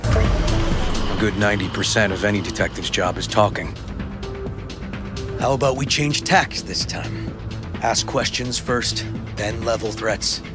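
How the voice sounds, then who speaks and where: A man's voice: lowish voice, steady tone, measured pace, pauses often, loud music behind the voice, natural voice. A man playing a seasoned, wry police detective in a video game, with a dry, colloquial way of talking. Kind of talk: video game dialogue acting.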